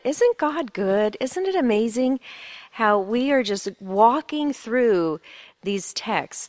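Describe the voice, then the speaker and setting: A woman's voice: medium pitch, no echo. A middle-aged woman from Sweden, a pastor's wife giving a heartfelt, encouraging talk and prayer at a women's conference. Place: church conference hall.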